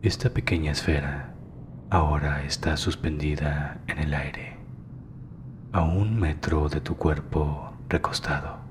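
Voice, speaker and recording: A man narrating a guided meditation in a hushed, soothing, deliberate way with long pauses. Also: a bass voice, fairly even intonation, background noise.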